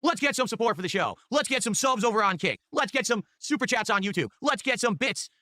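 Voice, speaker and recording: A man's voice: animated, quick pace, sharp tone, dry recording, studio quality. A man hosting his own stream, chatting casually and hyping up viewers for support. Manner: upbeat hype pitching for tips. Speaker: streamer host.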